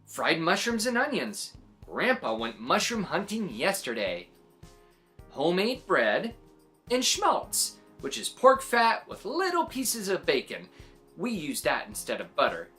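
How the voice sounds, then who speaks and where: A woman reading a story aloud to young children in an animated, expressive way, adding little exclamations. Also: fairly low pitch, measured pace, faint music behind. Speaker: children's story reader and host.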